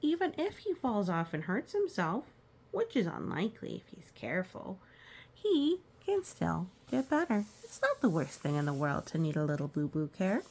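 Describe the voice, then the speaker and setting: A woman reading aloud for children in a storytime style, gently explaining with casual asides. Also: medium pitch, wildly swooping pitch, moderate pace, slightly rough. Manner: gentle explaining to young listeners. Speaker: storytime reader.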